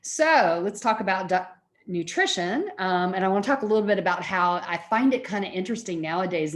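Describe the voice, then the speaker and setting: Lower-pitched woman lecturing conversationally. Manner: conversational, explanatory. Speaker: college psychology lecturer. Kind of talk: informal lecture to students.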